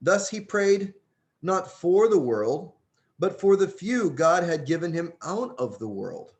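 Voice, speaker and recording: A man with a slightly high-set voice reads a religious book aloud. His delivery is earnest and emphatic, with lively rises and falls in pitch as he stresses key phrases. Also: takes it slowly. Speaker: audiobook reader.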